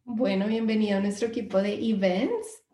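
A young woman from Colombia with a Bogotá accent, introducing her student club's team on a radio show in a friendly, brisk way. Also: mid-range voice, fairly even intonation, mellow, echoey room. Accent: Bogotá Colombian Spanish. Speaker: student club member presenting the team. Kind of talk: casual radio chat.

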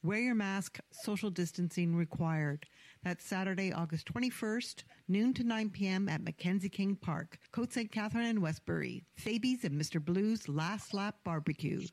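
A woman reading a radio advertisement in a clear, even promotional voice. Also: mid-range voice, normal speed. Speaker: announcer. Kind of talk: radio ad read.

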